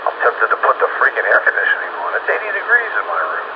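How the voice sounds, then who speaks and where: Male radio hobbyist, halting explainer, muffled transmission buried in heavy static. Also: mid-range voice, huge pitch swings. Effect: two-way radio transmission. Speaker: amateur radio operator. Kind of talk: casual radio chat about equipment. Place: home room, over radio.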